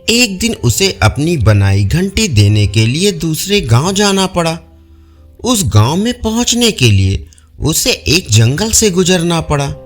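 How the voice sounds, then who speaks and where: A man narrating folk stories in a measured, expressive reading style. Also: high-pitched. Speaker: storyteller. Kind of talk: scripted audio-story narration.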